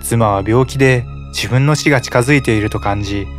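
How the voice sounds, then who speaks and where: A man narrating a fairy tale in a calm, even storytelling manner. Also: mid-range voice, a little fast. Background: music.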